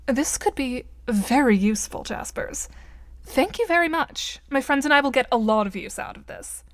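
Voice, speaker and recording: A woman's voice: high voice, animated, moderate pace, no echo. A woman playing a composed teenage girl with a dry, formal, sardonic manner in an audio drama. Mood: amused, polite.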